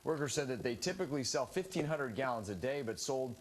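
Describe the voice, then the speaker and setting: A man's voice: medium pitch, slight background noise. Man reading news copy evenly. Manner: even, measured reading. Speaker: news anchor.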